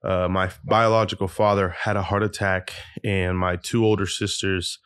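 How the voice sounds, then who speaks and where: Low-voiced young man telling his story. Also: little pitch movement, moderate pace, fairly bright tone, clear, resonant tone, no room sound, studio quality. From Peru. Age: 20s. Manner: open, reflective, many ums and restarts. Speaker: podcast guest. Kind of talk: casual interview storytelling. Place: guest's home.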